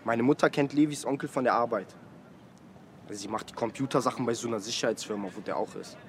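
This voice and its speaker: A man's voice: medium pitch, quick pace, slight background noise, natural voice. A young man playing a blunt, worried working-class lad in a naturalistic radio drama, talking in brash street slang. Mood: worried, scornful. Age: young adult.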